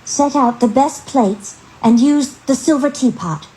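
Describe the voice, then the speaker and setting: A woman's voice: sits high, a little slow, mellow, pitched above the performer's own voice, rough recording. A woman voicing a bossy, easily impressed farmhouse mother in a storybook tale, fussy and instructing. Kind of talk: storybook character voice.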